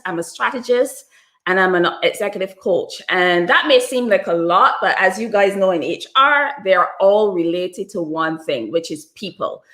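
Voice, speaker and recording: A woman's voice: mid-range voice, a bit bright. A woman with a Barbadian accent, a guest author and coach on an interview podcast, explaining at length with occasional fillers.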